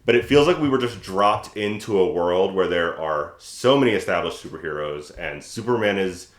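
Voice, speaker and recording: Deep-voiced man chatting in an echoey room. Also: expressive, moderate pace. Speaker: podcast co-host. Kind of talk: casual chat.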